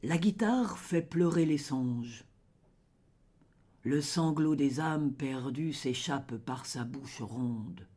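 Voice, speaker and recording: A person reciting poetry in a solemn, measured and dramatic way, letting phrase endings fall into a hush. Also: mid-range voice, expressive, breathy edge. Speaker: poetry reader.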